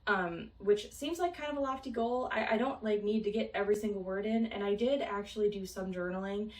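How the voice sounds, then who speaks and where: A woman's voice: mid-range voice, fairly quick, recorded from a distance. A young woman from Minnesota chatting casually in a vlog, rambling with many ums and small laughs. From Minnesota, United States. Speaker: vlogger. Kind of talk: casual chat. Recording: roomy, from off the mic.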